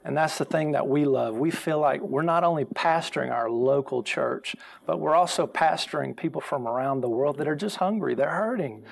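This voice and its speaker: Gravelly pastor, a man. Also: a little high, normal speed, hardly any pauses. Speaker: pastor, programme guest. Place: TV studio, seated on a sofa. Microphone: lapel mic.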